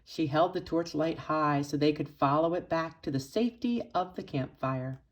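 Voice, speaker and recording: A woman reading a children's picture book aloud expressively, with dramatic emphasis and pauses for young listeners. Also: deep voice. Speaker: storybook reader for children's church.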